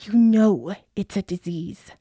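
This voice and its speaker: A woman voicing an old seamstress, weary and worldly-wise, who gives dry, world-weary advice in a husky voice. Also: mid-range voice, slow pace, mellow, dry recording. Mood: concerned. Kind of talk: amateur radio play acting.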